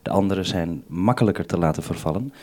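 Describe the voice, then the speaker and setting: A man's voice: sits low, a little fast, a little mellow. A Dutch man working as a radio programme maker, giving methodical, instructive briefings over a two-way radio link.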